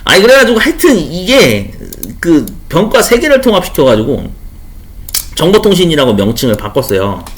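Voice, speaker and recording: A man's voice: on the high side, sing-song, normal speed. A man teaching exam questions in an informal lecture style, chatty, repeating key terms and explaining with everyday examples. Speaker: online instructor for a certification exam.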